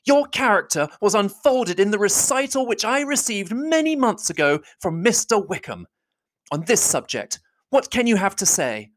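A reader voicing an indignant, proud young woman who accuses in heated, rapid declamation. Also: medium pitch, big pitch swings, pitched above the performer's own voice, natural voice. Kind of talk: audiobook reading.

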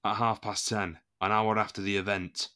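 Period audio drama: loyal, defiant musketeer captain, male. Lower register, bright forceful tone, formal emphatic delivery. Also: level tone, normal speed. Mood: formal, assured. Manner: formal, emphatic, indignant courtly speech.

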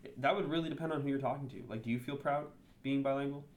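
A man's voice: medium pitch, rapid-fire. A native English-speaking man talking candidly and thinking aloud in a casual interview. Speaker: interview guest. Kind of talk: casual conversation.

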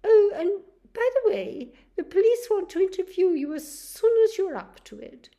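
A woman voicing a brisk, chirpy young nurse who fusses over a patient in a storybook reading. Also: very high voice, big pitch swings, mellow, voice pushed up, heavily acted. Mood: apologetic.

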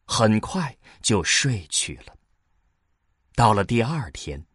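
A man reading scripted science fiction narration dramatically, with suspenseful pauses. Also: lowish voice, no echo, studio quality. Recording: clear music bed behind. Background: music.

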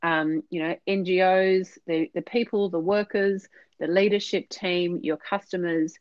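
A woman with a lowish voice talks through her business ideas in an earnest, explanatory way, dotting her sentences with fillers. The sound is dull and lo-fi, with a close, dry quality and no room around it. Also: steady tone, a little slow, dry recording. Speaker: podcast guest, business consultant. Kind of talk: conversational interview answers.

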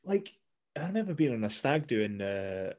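A man's voice: mid-range voice, big pitch swings, normal speed, a little mellow. Scottish guy just chatting, swears a lot, direct, keeps restarting his sentences. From Scotland. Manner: blunt, sweary, rambling with restarts. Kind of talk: casual chat.

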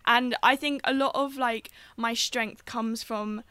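A woman's voice: fairly high pitch, steady tone, slow pace, bright, forceful tone, clear, resonant tone, dry recording. A woman co-hosting a podcast, speaking in an earnest, reflective way about family and strength. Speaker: podcast co-host. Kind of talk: casual conversational interview.

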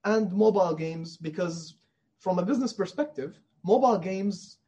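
High-voiced husky man, earnest. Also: moderate pace, mellow. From Middle East. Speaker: indie game developer being interviewed. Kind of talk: conversational interview.

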